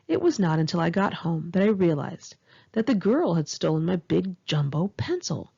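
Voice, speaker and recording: A Californian American woman reading her own memoir aloud in a candid, expressive, conversational way, with a husky, creaky voice. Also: fairly low pitch, moderate pace, muffled recording. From Los Angeles area, California, United States. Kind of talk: narrated memoir audiobook, one-take home reading.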